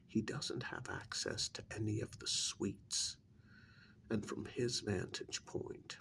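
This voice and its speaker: A man playing a stern, disappointed senior agent of a secret government agency in a soft-spoken ASMR role-play, breathy and gravelly, with a deliberate, formal, condescending manner. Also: lowish voice, a little hiss or hum, full character voice. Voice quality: breathy, half-whispered, gravelly.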